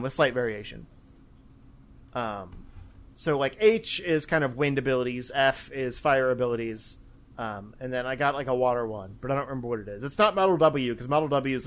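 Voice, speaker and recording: Guy on a gaming podcast, rambling and kinda hesitant, average pitched voice. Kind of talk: casual chat about video games.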